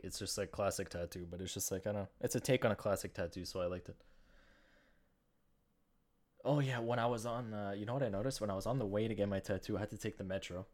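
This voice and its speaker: A man's voice: lowish voice, a little mellow. A young man with a slight lisp, hosting a solo podcast and rambling through jokey, self-deprecating stories. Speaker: podcast host. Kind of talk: casual solo podcast monologue.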